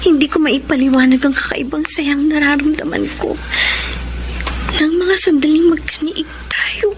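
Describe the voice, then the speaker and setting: A woman's voice: sits high, steady tone, moderate pace, noisy background, close to an everyday voice. An actress playing a guilt-torn, hesitant young married woman in a Filipino radio drama, pleading and breaking off her words. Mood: guilty, torn. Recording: music behind the voice.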